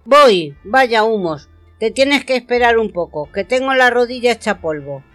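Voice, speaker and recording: A woman's voice: on the high side, expressive, normal speed, a bit bright, faint music behind. A woman playing a curt, grumbling, lonely older woman in an amateur radio play. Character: grumpy, lonely old woman, curt and dismissive.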